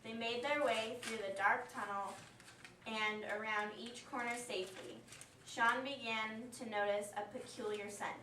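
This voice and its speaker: A woman's voice: fairly high pitch, steady tone, a little slow, bright, forceful tone. A woman reading scripted story narration aloud for an amateur puppet show. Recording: echoey room, distant mic, faint noise.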